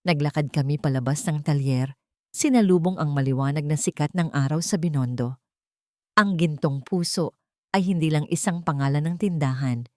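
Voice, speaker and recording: A woman's voice: sits low, moderate pace, no echo, studio quality. A woman narrating a scripted sentimental story as a storytelling host, in an earnest and formal manner.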